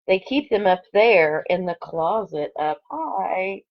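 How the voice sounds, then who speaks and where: Female primary teacher for online story time, mid-range voice, chatty and expressive with young children, dull home-recorded sound. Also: medium pitch, slow. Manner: chatty asides between expressively read story lines. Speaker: second grade teacher. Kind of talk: read-aloud to children online.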